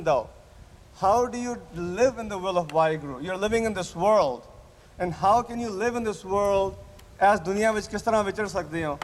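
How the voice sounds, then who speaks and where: Doctor guy lecturing a camp crowd, a bit high voice, stumbles and restarts, echoey hall. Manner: hesitant, many restarts, repeats audience answers. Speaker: physician giving a lecture at a camp. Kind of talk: interactive lecture with audience questions. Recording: roomy hall with long reverb, faint noise.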